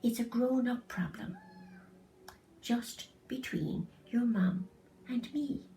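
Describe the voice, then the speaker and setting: Storybook father, read by a woman. Earnest, measured, reassuring, high register. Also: dark tone, distant mic, a little hiss or hum. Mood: reassuring, serious. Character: earnest, reassuring father breaking hard news. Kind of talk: storybook reading.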